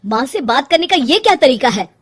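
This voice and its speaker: An earnest young cartoon husband, played by a woman storyteller in a high, animated voice. He sounds questioning and insistent, pleading with his wife. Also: a little fast, slightly rough, heavily acted. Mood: upset, insistent. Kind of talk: animated moral story character voice.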